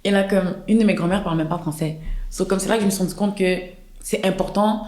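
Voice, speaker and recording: Woman in her twenties, rapid casual storytelling, English words mixed in, roomy echo. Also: mid-range voice, darkish tone. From Quebec, Canada. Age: 20s. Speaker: podcast guest.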